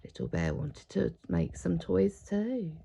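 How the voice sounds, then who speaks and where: A woman's voice: lowish voice, huge pitch swings, slow, creaky, dark tone, slight background noise. A woman reading a children's picture book aloud expressively, with dramatic emphasis on key words. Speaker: storybook reader.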